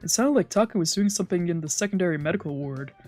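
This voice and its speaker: A woman streamer reading a visual novel aloud in expressive, dramatic narration with casual asides. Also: fairly low pitch, fast talker. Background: game background music.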